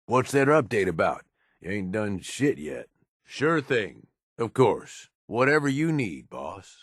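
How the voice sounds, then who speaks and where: An actor playing a tough, cynical middle-aged raider henchman in a video game, with a gravelly voice and rough, folksy, sardonic slang. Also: fairly low pitch, sing-song, slow pace, no echo, clean studio sound, heavily acted. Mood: gruff, conversational. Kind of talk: video game character voice lines.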